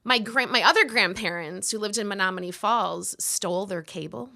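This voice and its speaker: A woman in her forties from Wisconsin, telling stories as an interview guest in a goofy, lively way with frequent laughter. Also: medium pitch, moderate pace, gravelly. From Milwaukee, Wisconsin. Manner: goofy, lively storyteller who laughs often. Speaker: podcast guest, improv performer. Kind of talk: casual interview chat.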